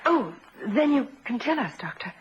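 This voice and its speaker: A woman's voice: a little high, very animated, moderate pace, highs cut off, a little hiss or hum. An actress playing a forward, teasing young girlfriend with an emphatic, pointed manner in a vintage radio drama, on an old recording. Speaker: actor.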